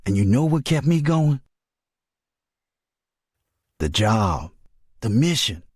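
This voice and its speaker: A man's voice: medium pitch, big pitch swings, takes it slowly, darkish tone, breathy edge, dry recording, studio quality, heavily acted. A man acting a blunt, loyal navy pilot with folksy phrasing in a film drama. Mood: stern, confronting. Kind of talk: dramatic animatic film dialogue.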